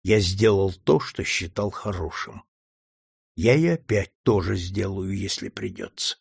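A man voicing a calm, faithful male slave who speaks quietly and solemnly despite a beating. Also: mid-range voice, moderate pace, gravelly, husky, dry recording, studio quality. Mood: steadfast.

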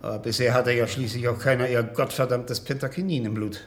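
An actor playing a gruff, sharp-minded lead researcher with a brusque, emphatic manner in a radio drama. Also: male voice, medium pitch, fairly even intonation, a little fast, close to an everyday voice. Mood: triumphant, insistent. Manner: brusque, swearing, emphatic deduction. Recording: radio play with faint background noise.

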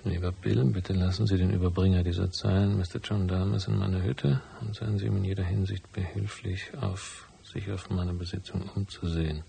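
Hard-boiled private eye, low and gravelly, terse and cynical, radio-drama style. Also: male voice, steady tone, moderate pace, few pauses, dark tone, slight background noise. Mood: brisk, flat. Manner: terse, dry, matter-of-fact. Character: hard-boiled, cynical male private detective, laconic and world-weary. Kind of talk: German radio drama acting and first-person narration.

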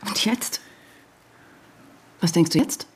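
An actress playing a distraught wife confronting her husband, speaking haltingly in intimate radio drama dialogue. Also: natural voice. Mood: incredulous, hurt.

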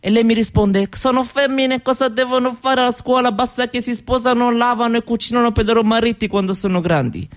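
A woman's voice: on the high side, a little fast, hardly any pauses, soft background music, lightly acted. Actress as a Roma woman, Eastern European accent. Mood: earnest, hospitable. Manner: broken foreign-speaker grammar, conversational, rhetorical tags. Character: proud, cheerful Roma woman from Romania, mother, chatting hospitably. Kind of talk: theatrical monologue drawn from interviews.

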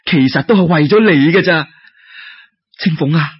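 A man's voice: a little high, moderate pace, slightly rough. A man voicing an ardent, fearless young scholar in love, impassioned and beseeching, with a Cantonese accent, in a told story. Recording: dull lo-fi radio-like recording.

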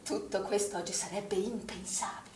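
An actress playing a grieving, troubled middle-aged mother in a stage drama, speaking in halting, emotional repeated phrases. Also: medium pitch, steady tone, close to an everyday voice. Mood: nostalgic, troubled. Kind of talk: naturalistic stage drama monologue. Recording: roomy, distant stage recording with noise.

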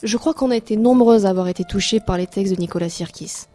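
Husky-voiced woman interviewer. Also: mid-range voice, warm tone. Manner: formal, measured questions. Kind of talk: read interview questions.

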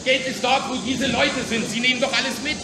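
A man's voice: very high-pitched, fairly even intonation, fairly quick, crowd noise. A male actor in a radio play voicing a stubborn baker's man, agitated and defensive. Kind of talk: radio drama acting. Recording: noisy echoing hall, distant microphone.

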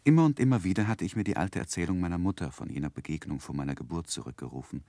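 An actor playing an earnest grown man who narrates his boyhood memoir in measured, reflective literary style for a 1960s radio drama. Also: low voice, warm tone, no echo.

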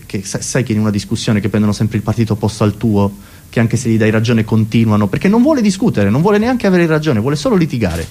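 Man, comic monologue, highly animated intonation, rambling, mock-academic, self-correcting. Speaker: comedian and monologuist. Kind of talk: comic philosophical stage monologue.

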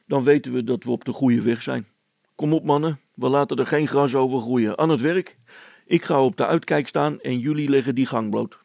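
A bossy village constable from a storybook, acted by a male reader, confident and brisk. He speaks loudly and commandingly with a gravelly edge. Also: medium pitch, no room sound, voice pushed up. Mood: bossy, assured.

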